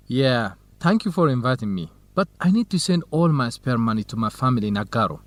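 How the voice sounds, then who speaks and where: An actor playing a kind, helpful young student researcher, speaking carefully and formally in a radio drama for English learners. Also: male voice, medium pitch, big pitch swings, a little fast, no echo, lightly acted. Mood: matter-of-fact. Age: young adult.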